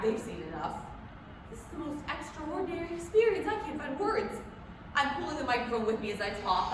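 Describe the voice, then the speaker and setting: An awestruck, dramatic field reporter played by a woman gives a breathless eyewitness account in a radio play. The sound is very echoey and set back from the mic, with clear background noise. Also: on the high side, recorded from a distance, natural voice. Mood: alarmed, panicked. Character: male radio field reporter, awed then panicked eyewitness. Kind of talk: staged reading of 1938 radio drama. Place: public library room, staged reading.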